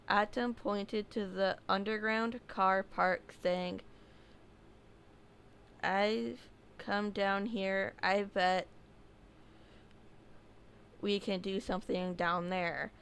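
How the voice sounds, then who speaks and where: A woman reads a listener's scary story aloud, taking her time over every line. Her voice is smooth and clear, and she adds offhand asides and fixes her own slips as she goes. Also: mid-range voice. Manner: unhurried reading with small slips and asides. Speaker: horror story narrator. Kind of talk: reading a submitted story aloud.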